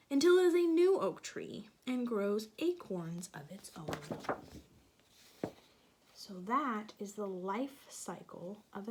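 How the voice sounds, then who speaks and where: A woman teacher reads a children's picture book aloud at an unhurried pace. Her voice sits at a middle pitch. She pauses often to explain things patiently and ask the little listeners questions. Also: a little mellow, slight background noise.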